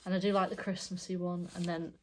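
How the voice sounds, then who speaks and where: A middle-aged woman with a stuffed-up, congested voice. She talks in a casual, rambling way, often correcting herself mid-sentence, like a relaxed home vlog. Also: fairly low pitch, fast, a little hiss or hum. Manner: chatty, rambling, self-correcting. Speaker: cross stitch vlogger. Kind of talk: casual show-and-tell chat.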